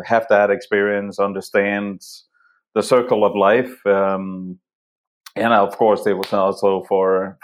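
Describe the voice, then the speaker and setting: A middle-aged man from Denmark whose first language is Danish talks as a guest in a relaxed interview. His voice sits low, and he tells personal stories in a conversational, unscripted way. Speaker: interview guest, photographer and writer. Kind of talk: casual conversational storytelling.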